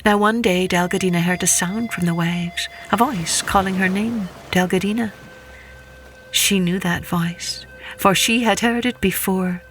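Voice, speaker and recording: A woman's voice: mid-range voice, steady tone, unhurried, soft background music, low-quality recording. A woman storyteller reading a scripted children's folktale expressively.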